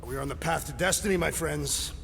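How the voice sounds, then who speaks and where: Bombastic warrior brute, high forceful gravelly voice, formal declaiming, video game, cavernous reverb. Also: male voice, brisk, a little hiss or hum. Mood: proud. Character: grandiose, earnest alien warrior brute. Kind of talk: video game cutscene acting.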